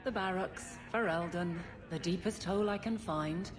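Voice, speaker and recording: An actress playing a proud guard captain who is blunt, flustered and halting, awkward about romance, in a video game cutscene. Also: female voice, fairly low pitch, over music, close to an everyday voice. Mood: dejected.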